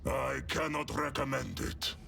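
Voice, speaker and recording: Caged, savage warrior for a sci-fi game: heavy gravel, slow and menacing, grimly mocking. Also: male voice, fairly even intonation, bright, forceful tone, slight background noise, heavily acted. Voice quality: deep, gravelly growl. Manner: boastful, deliberate, menacing. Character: wild, proud, caged male space warrior, grimly mocking. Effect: modulated voice. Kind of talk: video game character acting.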